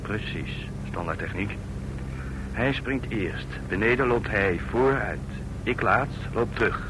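An actor playing a sardonic, commanding gang man and jumpmaster, curt and brusque, in a radio drama. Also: takes it slowly. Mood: sardonic, instructing. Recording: noisy old radio recording with engine rumble.

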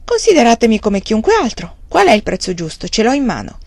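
A woman's voice: medium pitch, big pitch swings, moderate pace, husky, no room sound. A woman reading the part of an eager, stubborn young male farmer with emphatic outbursts. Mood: insistent. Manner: emphatic exclamations.

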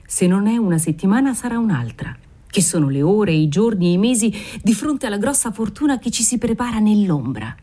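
A woman's voice: mid-range voice, sing-song, a little fast, voice pushed up. Woman reading as a volatile old nobleman. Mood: hopeful. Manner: swings from dreamy murmuring to furious shouting; fervent, obsessive. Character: aging nobleman, desperate, volatile, pious father.

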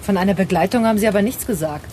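A woman's voice: medium pitch, very fast, close to an everyday voice. Actress as a curt, weary woman doctor, ambient noise. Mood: irritated, curt. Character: weary, irritable, reserved female doctor in emotional turmoil. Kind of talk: naturalistic German radio drama acting.

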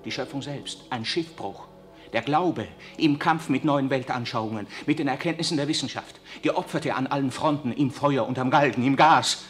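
An actor playing an idealistic young priest, speaking with impassioned, declamatory fervor in a 1960s radio drama. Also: male voice, medium pitch, normal speed, faint music behind, close to an everyday voice.

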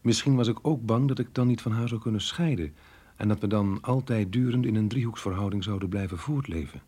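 An actor playing a melancholy married man reading his diary in a radio drama, earnest, reflective and measured. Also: lowish voice, dark tone, slightly rough, dry recording. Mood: guilty, resigned. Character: married man, melancholy diarist torn by guilt over lost love.

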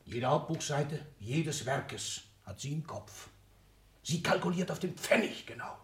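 Domineering old tycoon, declaiming fiercely. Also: male voice, a little high, expressive, a little slow. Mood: bitter ranting. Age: older. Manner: declamatory, commanding, swinging from whispers to shouts. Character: ailing, power-hungry old arms tycoon, a domineering father. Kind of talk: 1960s German radio play acting.

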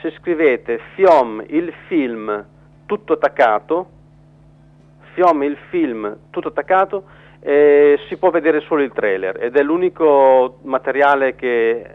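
Guy phoning into an interview, kinda halting, repeats himself, thin phone sound. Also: a little high, expressive. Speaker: metalworker, journalist and documentary co-director, interview guest. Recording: narrow, tinny phone line.

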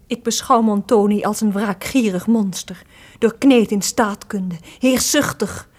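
Woman acting a husky, sorrowful captive noblewoman. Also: medium pitch, measured pace, darkish tone, close to an everyday voice. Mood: indignant. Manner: solemn, declamatory. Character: sorrowful captive young noblewoman, proud and indignant. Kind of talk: Dutch radio play acting, formal and theatrical.

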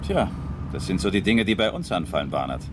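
An actor playing a seasoned, sardonic small-town constable with a teasing manner in a German radio play. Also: male voice, sits low, sing-song, brisk. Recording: vehicle noise behind voice.

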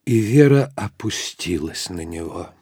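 Very mellow, solemn male narrator. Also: low voice, lively intonation, husky, no echo, clean studio sound. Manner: measured, solemn storytelling. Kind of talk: dramatic literary narration for audio drama.

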